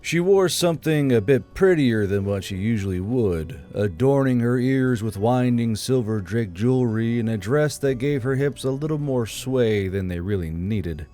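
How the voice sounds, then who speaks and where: A man's voice: medium pitch, lively intonation, normal speed, few pauses, mellow, soft background music. A man narrating a fantasy audiobook from a script.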